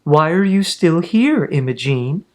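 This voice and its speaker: A man's voice: a little high, animated, unhurried, pitched above the performer's own voice. A man reading a storybook, voicing a flustered, fussy female school nurse with questioning, emphatic delivery. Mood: puzzled, dismissive.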